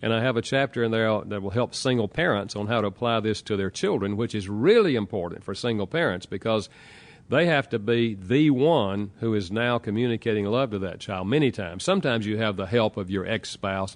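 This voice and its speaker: A man with a Southern American accent, an author answering interview questions in a calm, explanatory way and walking through examples. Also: on the low side, a little fast. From Southern United States.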